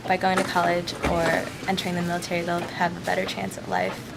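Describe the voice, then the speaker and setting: Creaky-voiced young woman, noisy outdoors. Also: fairly low pitch, completely flat delivery, brisk. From Philippines. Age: young adult. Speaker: interviewee, student. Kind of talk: interview answers. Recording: loud outdoor background noise.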